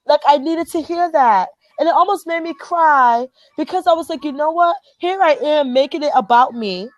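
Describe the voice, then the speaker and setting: A woman with a Liberian accent and a somewhat high voice hosts a motivational group chat. She talks earnestly, stressing and repeating her key phrases. The sound is close and dry. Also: normal speed, dry recording, poor audio. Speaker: host.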